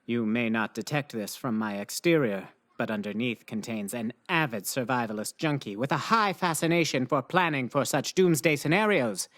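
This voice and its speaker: An actor playing a pompous, awkwardly formal survivalist nerd in a radio play, with stilted, over-precise diction. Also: male voice, a little high, fairly bright tone, close to an everyday voice. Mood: proud, excited.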